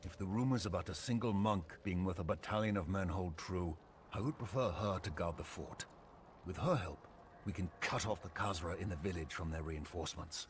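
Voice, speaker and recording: Man acting a very deep-voiced, stern fort captain. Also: natural voice. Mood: resolute. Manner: measured, solemn. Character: stern, formal, battle-worn male fort captain. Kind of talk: dramatic video game acting. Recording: faint background noise.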